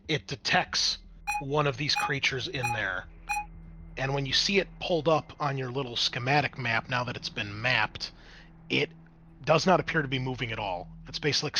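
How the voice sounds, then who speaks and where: Male game master, bright forward tone, mid pitch, casual improvised narration for a tabletop roleplaying session. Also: a little hiss or hum.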